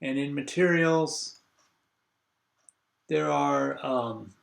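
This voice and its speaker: Older man, congested, gravelly, roomy echo, halting online lecture. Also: on the high side. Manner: halting, many fillers, thinks aloud while clicking. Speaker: university lecturer. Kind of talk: online class lecture over video call. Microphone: video call.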